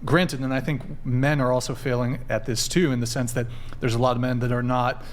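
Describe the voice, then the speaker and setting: A man hosting a live dating talk show, steering the panel with quick questions. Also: medium pitch, flat delivery. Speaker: host.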